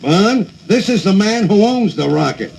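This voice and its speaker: A man voicing a loud, pompous caveman who talks with mock-formal bluster. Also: high-pitched, animated, a little slow, gravelly, slight background noise, heavily acted, rough recording. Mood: excited.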